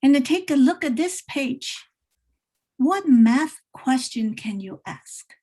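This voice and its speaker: A woman demonstrating read-aloud questions for educators as a workshop presenter, gently prompting as she goes. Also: sits high, animated, slow. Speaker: teacher trainer presenting a workshop.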